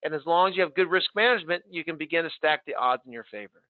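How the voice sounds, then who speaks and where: A man with a high voice closes out his webinar with brisk, promotional thanks. His audio is narrow and phone-like, and completely dry. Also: animated, no room sound. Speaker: webinar presenter. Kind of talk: closing remarks of a presentation.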